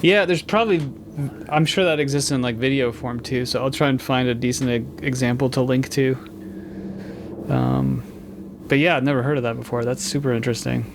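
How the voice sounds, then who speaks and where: A man's voice: medium pitch, fairly even intonation, fairly quick, gravelly. A man hosting a casual podcast conversation, asking questions with curious interest. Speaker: podcast host. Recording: audible background noise.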